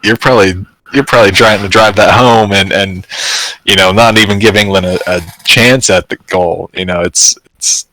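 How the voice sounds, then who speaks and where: Male podcast co-host, low dry voice, hesitant chatty football talk. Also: fairly even intonation, normal speed, bright, forceful tone, dry recording, rough recording. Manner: hesitant, trails off with fillers and laughs. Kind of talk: casual sports chat.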